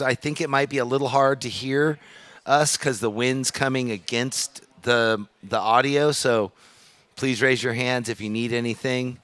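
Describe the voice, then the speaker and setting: A man's voice: medium pitch, a bit bright, dry recording. Man calling a live surf contest. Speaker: webcast commentator and beach announcer.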